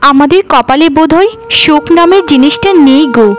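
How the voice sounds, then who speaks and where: A woman's voice: sits high, moderate pace, close to an everyday voice. A woman voicing a poor, humble village woman in a cartoon, long-suffering and deferential, speaking in a pleading, lamenting way. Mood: despairing. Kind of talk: cartoon voice acting.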